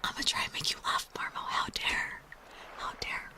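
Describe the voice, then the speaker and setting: Whispering woman ASMR streamer. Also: moderate pace. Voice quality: whispering, breathy. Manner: playful, rambling, apologetic chatter. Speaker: livestream host.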